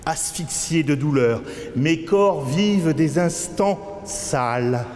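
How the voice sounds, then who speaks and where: A man declaims experimental poetry in a high-pitched voice with very animated intonation. He moves between low mutters and stretched, crying peaks. The delivery is theatrical and avant-garde throughout. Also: takes it slowly. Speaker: poet performing own work. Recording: long cavernous reverb with background noise.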